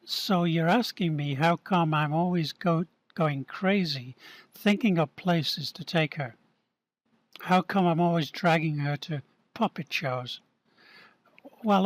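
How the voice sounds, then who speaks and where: A man reading the part of a restless, divorced cigar smoker who rambles and questions himself. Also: a little high. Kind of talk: amateur radio-play reading.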